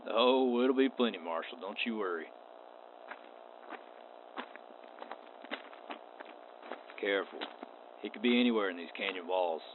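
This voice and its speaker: A man's voice: fairly low pitch, fairly quick, pitched below the performer's own voice, lightly acted. A man playing a courteous, unflappable wandering space cowboy in a radio play, with a laconic drawl. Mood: calm, cautious. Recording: tinny band-limited audio with clear noise.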